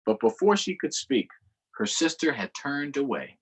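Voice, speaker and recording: A man reading a picture book aloud to children in an unhurried way, pausing for short explanatory asides. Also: medium pitch, very animated, husky. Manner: unhurried, adds explanatory asides to young listeners. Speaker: storytime reader.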